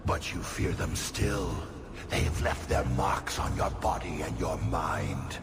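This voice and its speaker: An actor playing a proud demon tempter in a video game, gravelly and theatrical, insinuating and scornful as he speaks. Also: takes it slowly. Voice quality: gravelly, creaky, very low. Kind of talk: dramatic video game acting. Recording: loud music, long reverb.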